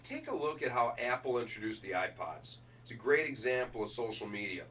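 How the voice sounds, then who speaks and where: A man delivering a motivational business keynote speech in an emphatic, deliberate way, punching key words as a speaker. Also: mid-range voice, big pitch swings, a bit bright. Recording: distant microphone with faint noise and hum.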